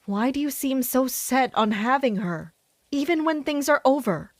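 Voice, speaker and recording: A woman playing a loyal, pragmatic captain in an audio drama, speaking in a measured, firm, admonishing way. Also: high voice, animated, dry recording, clean studio sound. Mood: challenging. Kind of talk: dramatic audio fiction acting.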